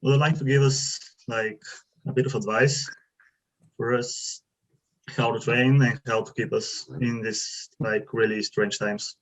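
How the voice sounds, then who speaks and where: A man's voice: mid-range voice, little pitch movement, measured pace. A young man from Bulgaria, not an English speaker, asking a question on a video call with many fillers as he searches for words. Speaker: webinar participant asking questions. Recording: muffled video call audio.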